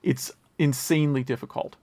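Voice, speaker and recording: A man's voice: medium pitch, fairly even intonation, normal speed, warm tone, no echo. A man hosting a podcast, chatting casually about the news and wandering into conversational asides. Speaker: podcast host. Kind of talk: casual retro gaming news chat.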